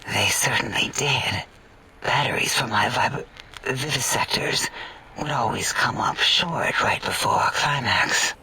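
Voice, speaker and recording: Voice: medium pitch, a little slow, pushed and bright, husky, recorded from a distance, full character voice, poor audio. A performer voicing a sultry, doting, clinical robotic scientist in a sci-fi comedy game, coy and whispery.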